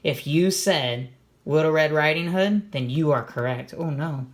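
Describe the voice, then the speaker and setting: Someone reading a kids storybook out loud, real expressive, stops to explain stuff and ask questions. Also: mid-range voice, measured pace. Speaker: storybook reader. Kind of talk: animated read-aloud with comments to children.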